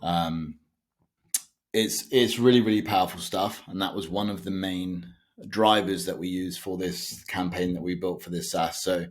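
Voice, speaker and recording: A man's voice: low voice, steady tone, a little slow. A young British man, a sales automation consultant, explaining his method to camera in a loose, conversational way with frequent fillers and self-corrections. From United Kingdom.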